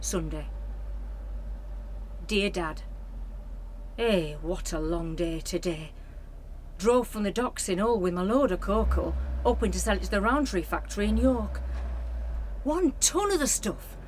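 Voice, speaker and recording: A woman's voice: medium pitch, expressive, unhurried, long pauses, a little mellow, slight background noise, lightly acted. A woman playing a proud, hard-working 1940s lorry driver reading a letter to her father in a radio play. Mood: tired, proud.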